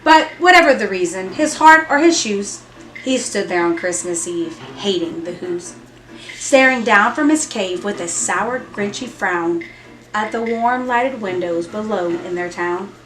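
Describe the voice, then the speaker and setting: A woman's voice: mid-range voice, lively intonation, fairly bright tone. A woman reading a children's story aloud expressively, with dramatic emphasis. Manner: dramatic emphasis and drawn-out repetition. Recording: echoey room, music and effects behind. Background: music and sound effects from an app.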